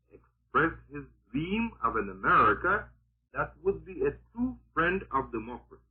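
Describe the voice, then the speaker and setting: Husky oratorical man on vintage radio. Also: on the high side. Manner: emphatic, oratorical, sardonic. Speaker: radio political commentator. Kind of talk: 1940s radio propaganda commentary, scripted. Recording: muffled, narrow-band vintage 1940s radio broadcast.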